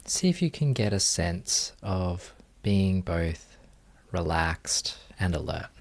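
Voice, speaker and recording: A man leading a guided meditation as a teacher, speaking in a gentle, unhurried manner with long pauses. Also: low-pitched, expressive.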